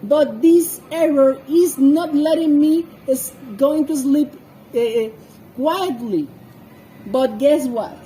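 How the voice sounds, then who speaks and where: A woman with a high voice speaks English as a second language, sharing informal scripture commentary. She goes slowly and haltingly, searching for her words. There is faint noise in the background. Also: mellow, low-quality recording. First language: not a native English speaker. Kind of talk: informal Bible commentary with personal anecdotes.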